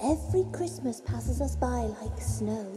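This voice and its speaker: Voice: medium pitch, expressive, a little slow, over music, lightly acted. A performer voicing a boisterous, chatty, bossy Christmas spirit in an audio drama. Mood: scolding, eager. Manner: exuberant, bossy, scolding.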